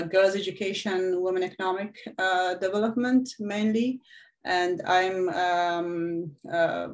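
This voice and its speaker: Female presenter, thirties, Afghan accent, slightly low voice, hesitant informal delivery in an online seminar. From Afghanistan, Iran and Pakistan. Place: indoor room, video call.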